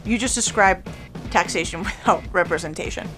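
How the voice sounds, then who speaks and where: A woman's voice: fairly low pitch, moderate pace, music in the background. A young woman with a Venezuelan accent hosting a comedic rant, joking and sarcastic with lots of "like". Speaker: comedy web show host.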